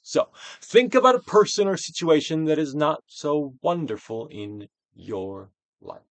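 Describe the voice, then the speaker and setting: A man giving a dramatic, deliberate reading of a self-help book as a guided exercise, with pauses and big swells. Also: lowish voice, vocal fry, dry recording. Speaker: audiobook reader and actor.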